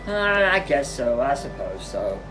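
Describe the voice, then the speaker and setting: A woman's voice: extremely low pitch, sing-song, a little slow, recorded from a distance, over music, voice pushed down, heavily acted. A woman voicing a blustering father bear in a storybook, emphatic and prone to stammering. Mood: sheepish, pondering. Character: blustering papa bear, loud and easily abashed.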